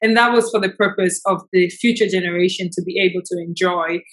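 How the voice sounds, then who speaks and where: A woman's voice: fairly low pitch, fairly even intonation, fairly quick. A young woman from Tanzania, a business founder, talking casually in an interview with many fillers and restarts.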